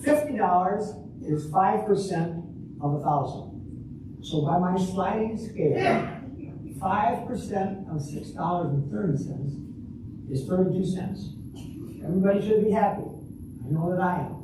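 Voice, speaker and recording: A man's voice: high-pitched, expressive, a little slow. An older man playing a stingy, stubborn old husband in a comic readers' theater sketch, repeating himself as he blusters. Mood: smug, argumentative. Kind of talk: amateur readers' theater comedy. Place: live stage reading in a roomy hall. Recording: echoey room, distant mic, clear background noise.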